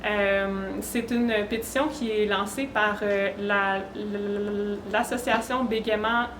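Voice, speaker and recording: A woman's voice: medium pitch, steady tone, slow, fairly bright tone. Québécois woman speaking in an echoey room. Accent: Québécois French. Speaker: panelist, speaker. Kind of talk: informal awareness talk to an audience. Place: echoey room at a university event. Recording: roomy echo, faint background noise.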